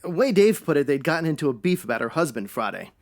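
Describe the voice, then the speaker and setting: Performer as animated 1950s male witness. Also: mid-range voice, quick pace. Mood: confiding. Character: plain-talking 1950s man, wary witness under police questioning. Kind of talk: old-time radio detective parody.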